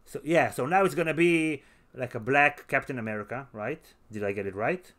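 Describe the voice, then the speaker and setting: A man's voice: mid-range voice, brisk, a bit bright. A man hosting a podcast in casual movie-chat style, enthusiastic and playful, often repeating his phrases. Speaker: podcast host.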